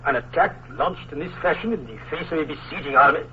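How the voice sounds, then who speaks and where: A cautious colonel weighs every word in a formal, measured voice, pitched a little high, as a man plays him. The sound is thin and phone-like, with a light hiss, as on a vintage radio broadcast. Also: close to an everyday voice. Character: calm, cautious male Prussian colonel. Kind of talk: 1940s radio acting. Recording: tinny old radio broadcast.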